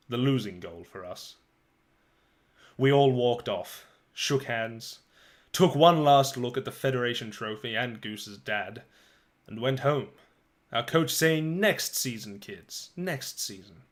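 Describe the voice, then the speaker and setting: Male narrator, medium pitch, dramatic audiobook reading, expressive, theatrical phrasing, deliberate pauses.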